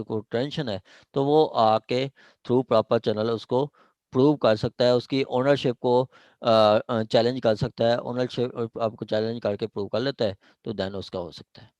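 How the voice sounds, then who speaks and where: A man's voice: mid-range voice, a little mellow, no room sound. A man with a Pakistani accent giving an informal law lecture, explaining step by step and repeating his phrases.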